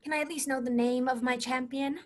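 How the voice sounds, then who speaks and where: High-voiced, theatrical young princess played by a woman. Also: fairly even intonation, fairly quick, lightly acted. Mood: lofty, hopeful. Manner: theatrical, insistent, lofty storybook diction. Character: romantic, indignant young princess awaiting her knight. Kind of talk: comic animated-film character acting.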